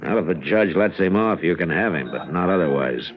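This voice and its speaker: An actor playing a dogged, world-weary western marshal in an old radio drama, speaking plainly and firmly with a weighty voice. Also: male voice, animated, brisk, crowd noise. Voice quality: deep, weighty. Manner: plain-spoken, firm. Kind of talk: 1950s radio western acting and narration. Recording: muffled old radio broadcast, music behind.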